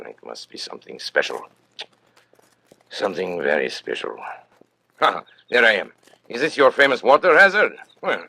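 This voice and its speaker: An actor playing a suave, vain, cold-blooded master criminal, speaking with pompous, precise courtesy in old-time radio suspense style. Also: male voice, low voice, bright, forceful tone, heavily acted. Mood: boastful, scheming.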